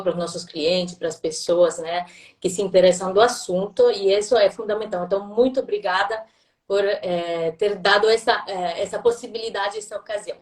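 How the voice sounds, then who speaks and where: A woman's voice: mid-range voice, echoey room. A woman from southern Italy with an Italian accent, a language school director, explaining things in a friendly way as a guest on a live stream, with frequent hesitations. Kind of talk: casual live-stream conversation. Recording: voice a bit far from the mic.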